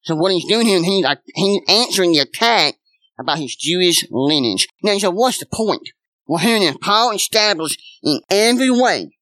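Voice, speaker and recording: A male pastor preaching a sermon in a conversational way, full of rhetorical questions and repeated asides to his listeners. His voice sits a little high and sounds bright and forceful. The sound is close and dry. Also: expressive, moderate pace, no echo, rough recording.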